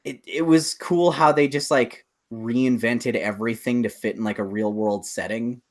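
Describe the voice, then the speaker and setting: Male podcast co-host, medium pitch, conversational, eager and rambling explainer. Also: steady tone, moderate pace. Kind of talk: casual chat about films and games.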